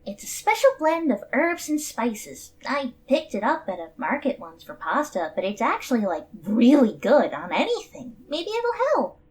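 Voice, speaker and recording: A woman's voice: fairly high pitch, big pitch swings, moderate pace, hardly any pauses, a little mellow. A woman voicing a well-meaning young cartoon contestant who rambles casually and a little defensively.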